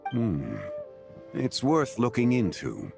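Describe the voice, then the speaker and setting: An actor playing a composed, scholarly gentleman in a video game, speaking in a formal, measured, erudite way. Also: medium pitch, huge pitch swings, gravelly, over music, full character voice. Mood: modest. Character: composed, courtly, scholarly gentleman of ancient wisdom.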